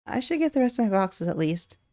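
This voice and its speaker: A woman voicing a shy, gentle, homesick young boy in a visual novel, humble and subdued. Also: mid-range voice, big pitch swings, fairly quick, no room sound, clean studio sound, lightly acted.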